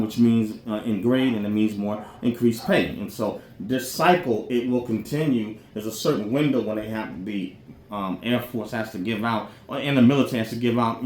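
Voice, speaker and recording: A man's voice: on the low side, normal speed, darkish tone. A man talking off the cuff as a podcast host, explaining things in a rambling, colloquial way with frequent check-in phrases. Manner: rambling, colloquial, frequently says "you know what I'm saying". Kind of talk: informal off-the-cuff monologue explaining military careers. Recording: roomy echo, faint background noise.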